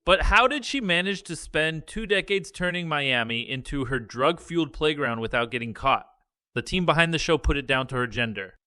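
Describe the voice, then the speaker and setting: A man reading a scripted news report in a measured, even delivery. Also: fairly high pitch, clean tone, no room sound, clean studio sound. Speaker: news narrator.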